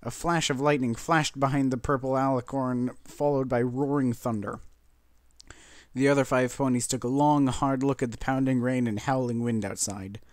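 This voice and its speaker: A man narrates a written story in a mid-pitched voice. The recording is dry, with very little room sound, and the delivery is that of a scripted reading. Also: steady tone, moderate pace, no echo. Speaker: fan fiction reader.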